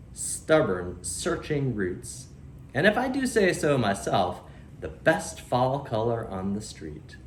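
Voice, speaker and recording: A proud, friendly oak tree read by a man chats to young listeners with dry storybook humor. The delivery swings up and down, with emphatic words and deliberate pauses, in an echoey room with faint noise. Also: medium pitch. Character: friendly, wry, proud old red oak tree narrating. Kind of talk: children's storybook read-aloud. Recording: roomy echo, faint background noise.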